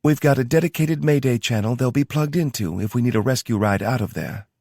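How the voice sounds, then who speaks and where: A man voicing a brisk woman handling logistics, speaking with a twang. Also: mid-range voice, creaky, a little mellow, no echo, studio quality, voice pushed up.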